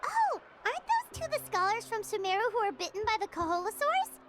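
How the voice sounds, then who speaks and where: A tiny fairy sidekick from a video game, squeaky and extremely high. The delivery is curious and excitable, swooping up and down, with music clearly behind it. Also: female voice, a little fast. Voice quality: squeaky, childlike. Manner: speaks of self in third person. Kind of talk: video game character acting.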